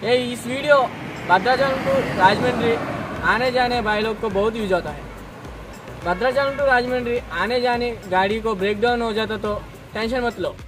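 A man's voice: very high-pitched, moderate pace, music in the background, poor audio. A young man, presenting a vlog to camera. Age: young adult. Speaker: vlogger, host. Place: outdoors at a roadside garage.

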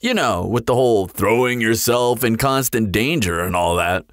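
Man acting as sardonic male vampire, audio roleplay, flirtatious and glib, lively swooping intonation, close dry recording. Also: medium pitch, normal speed, no echo, natural voice. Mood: playful, cocky. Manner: teasing, glib, playful drawl.

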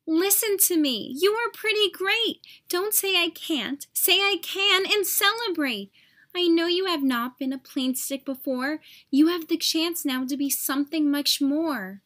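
A kind, upbeat twig from a children's storybook, played by a woman, with a very high voice. She speaks emphatically, like an eager friend giving encouragement. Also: a little slow, a bit bright. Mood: excited urging.

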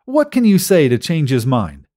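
A man voicing a courteous, patient robot museum guide in a science fiction audiobook, speaking in a formal, measured way. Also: on the high side, huge pitch swings, warm tone, pitched above the performer's own voice.

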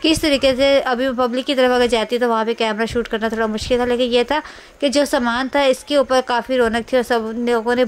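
High, clear-voiced Pakistani woman vlogger. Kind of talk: casual shopping vlog voice-over narration.

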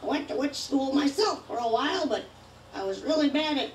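A woman playing a theatrical witch who tells spooky puns in a drawn-out joke delivery, like a Halloween prop. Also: sits high, expressive, distant mic. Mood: gleeful. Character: cackling female witch telling spooky puns, slow and theatrical. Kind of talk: Halloween prop comedy recording. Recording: roomy playback from afar, background noise.

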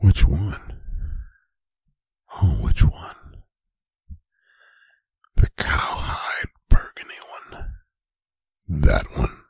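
Man acting a very deep, gravelly whispering domineering boyfriend. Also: big pitch swings, dry recording, heavily acted. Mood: stern, commanding. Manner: slow, deliberate, menacing, with long pauses. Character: stern, angry, domineering boyfriend scolding his adult partner. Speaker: ASMR voice performer. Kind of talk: whispered roleplay ASMR. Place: bedroom, close and dry.

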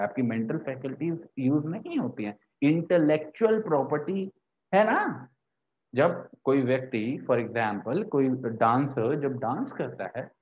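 Young man, slightly high voice, explanatory teacher, question-and-answer lecturing, somewhat dull lo-fi recording. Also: darkish tone. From India. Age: young adult. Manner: explanatory, repeats points and poses questions he answers. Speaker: law teacher. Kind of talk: lecture to camera, Hindi mixed with English terms. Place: small indoor room with a whiteboard. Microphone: lapel mic.

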